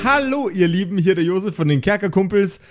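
Male podcast host and game master, slightly high voice, descriptive storytelling for improvised tabletop audio drama. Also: lively intonation, brisk, soft background music.

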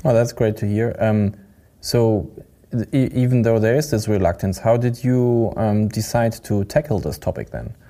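A male podcast host with a lowish, mellow voice leads the interview in a friendly, chatty way. He fills his questions with ums and uhs as he builds toward them. His tone stays warm and relaxed rather than bright. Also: normal speed. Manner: friendly, chatty, many fillers.

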